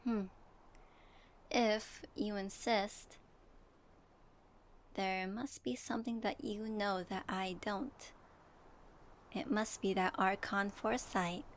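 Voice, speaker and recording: A woman playing an earnest, gently wry young traveler in an ASMR audio roleplay, soft and thoughtful. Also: medium pitch, fairly even intonation, slow pace, pauses often. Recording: faint noise and ambience.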